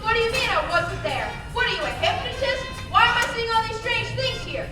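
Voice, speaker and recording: A woman's voice: very high-pitched, lively intonation, a little fast. A young woman acting a desperate, bewildered everyman in an old-fashioned radio play. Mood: puzzled. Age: young adult. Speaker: student actor. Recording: echoey distant stage recording, music behind.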